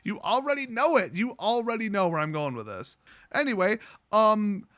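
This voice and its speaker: A man talks in a high-pitched, forceful, bright voice. He rambles through his story like a casual vlogger, sarcastic, blunt and quick to swear. The sound is close and very dry, with hardly any room to it. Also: lively intonation, no echo. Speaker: online vlogger telling a story, card seller.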